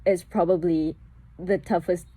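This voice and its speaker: A woman hosting a casual podcast interview, chatty and quick to laugh. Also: fairly low pitch, fairly even intonation, husky, low-quality recording. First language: English. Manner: chatty and warm, laughing often. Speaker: podcast host.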